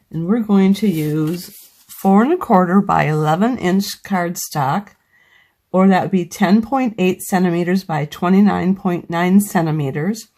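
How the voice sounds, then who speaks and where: Woman craft demonstrator, somewhat low voice with a slight scratchiness from a cold; patient, walks through each step. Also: darkish tone.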